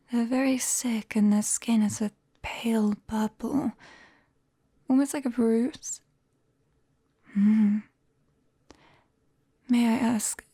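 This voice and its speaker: A British woman playing a gentle, shy, caring forest witch in a soft, breathy ASMR whisper, close to a binaural microphone. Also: on the high side, dry recording, heavily acted. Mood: caring, thoughtful. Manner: slow, gentle, hesitant. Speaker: ASMR roleplay performer.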